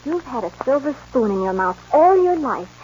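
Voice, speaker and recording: High-voiced, principled rancher's daughter. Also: female voice, very animated, normal speed, a little mellow. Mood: stern, heartfelt. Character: principled, firm young woman, rancher's daughter. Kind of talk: 1940s radio western acting. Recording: dull, noisy old radio recording.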